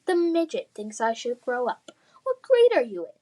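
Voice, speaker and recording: A woman acting out a jeering schoolyard bully boy, raised pitch. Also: very animated, normal speed, dark tone. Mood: sneering, taunting. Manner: mocking, taunting. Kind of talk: children's fantasy storybook read-aloud.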